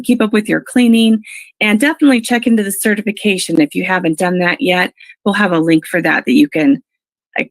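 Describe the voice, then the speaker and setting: A woman presenting an informational webinar in an instructive, encouraging way, her voice froggy and gravelly from allergies. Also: medium pitch, no echo. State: froggy from allergies, clearing throat.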